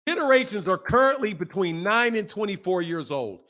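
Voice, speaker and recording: High-voiced man in his fifties ranting. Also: very animated, moderate pace, pushed and bright. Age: 50s. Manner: insulting, scolding, repeats himself. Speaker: boxing channel host. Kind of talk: heated live-stream argument.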